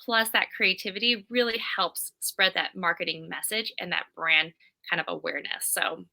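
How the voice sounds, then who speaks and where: A woman's voice: lowish voice, normal speed, vocal fry, pushed and bright. A woman from California, upbeat and fluent, telling her story as an interview guest over a video call. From California, USA. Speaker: guest, creative director. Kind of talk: conversational interview.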